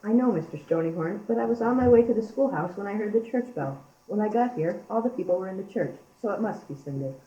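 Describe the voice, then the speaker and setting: Deferential church organist, acted by a teenage girl, fast-talking, roomy and dull recording. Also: mid-range voice, expressive, mellow, natural voice. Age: young teenager. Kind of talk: amateur school radio play. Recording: roomy, muffled amateur recording.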